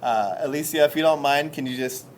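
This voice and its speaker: A man hosting a community panel discussion, speaking with many pauses and fillers. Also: a little high, a little hiss or hum. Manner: hesitant, many ums and uhs. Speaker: host.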